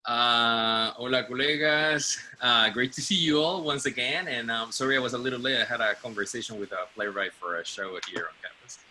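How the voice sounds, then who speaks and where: A man's voice: medium pitch, moderate pace, hardly any pauses, pushed and bright, low-quality recording. A man from Rico, an arts director and teacher, introducing himself on a video call in a hesitant, earnest way, searching for words. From Puerto Rico. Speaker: artistic director and university faculty member.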